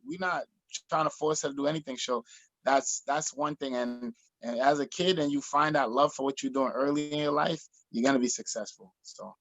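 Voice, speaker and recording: Energetic Jamaica-born man on a video call. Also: mid-range voice, normal speed, no echo, poor audio. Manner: energetic, animated storytelling with many restarts. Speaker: guest. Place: small room in front of a printed backdrop. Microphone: laptop or webcam on video call.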